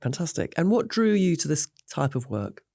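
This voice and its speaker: A woman hosting an interview series, asking questions in a brisk, prompting way. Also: sits low, sing-song, creaky, dark tone, no room sound, studio quality. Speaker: host.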